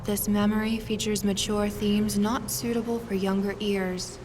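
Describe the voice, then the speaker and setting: A woman reading a scripted dramatic podcast introduction in a measured, mysterious manner. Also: medium pitch, level tone, noisy background. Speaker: podcast narrator and announcer. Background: music.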